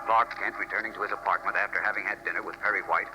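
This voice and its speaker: A man's voice: low voice, fairly even intonation, racing, poor audio. A man giving dramatic scripted narration as announcer of a 1940s radio serial. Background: loud music.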